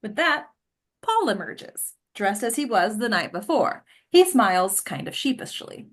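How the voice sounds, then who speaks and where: A woman's voice: fairly high pitch, very animated, measured pace, fairly bright tone. A woman reading stage directions as the scripted narrator of a table read.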